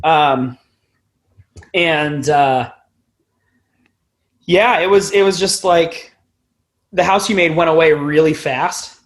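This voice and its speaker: A young man with a fairly high voice speaks as a musician guest in an interview. He talks informally and loosely, circling around his thoughts and swearing casually. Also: takes it slowly, long pauses. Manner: rambling, informal, casually profane. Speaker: band frontman, podcast guest.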